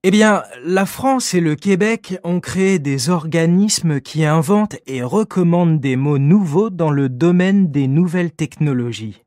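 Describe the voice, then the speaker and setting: A man answering interview questions in a clear, measured, scripted way for a language lesson. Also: on the high side, lively intonation, darkish tone, no room sound, studio quality.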